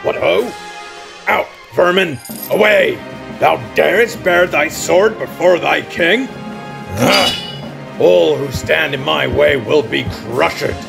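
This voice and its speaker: A man playing a grand theatrical pig-snouted troupe leader, declaiming in a gravelly voice for an audiobook drama. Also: fairly high pitch, music in the background, exaggerated voice. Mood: menacing, blustering. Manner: grandiose, slow stage declamation.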